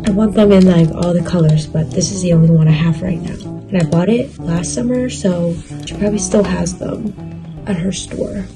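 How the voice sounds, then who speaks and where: A woman's voice: lowish voice, moderate pace, over music. Tired young woman chatting in a vlog. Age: young adult. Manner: relaxed, chatty, filler-heavy with "like" and "kinda". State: low energy, tired. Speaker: vlogger. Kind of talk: casual vlog chat to camera. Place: bedroom, indoors, small. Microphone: phone camera at arm's length.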